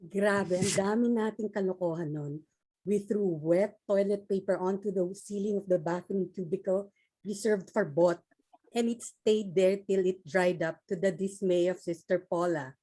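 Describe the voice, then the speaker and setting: Casting: woman reading mischievous Filipino alumna, warm dark tone, medium pitch. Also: fairly even intonation, moderate pace, close to an everyday voice, low-quality recording. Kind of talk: table read of a reunion script over video call.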